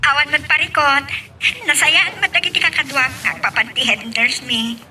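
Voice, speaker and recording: A woman's voice: high voice, steady tone, moderate pace, sharp tone, far from the mic, soft background music. A woman with a Filipino accent playing a polite, dutiful young working wife in an audio drama. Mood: content. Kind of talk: Ilocano radio-style drama acting.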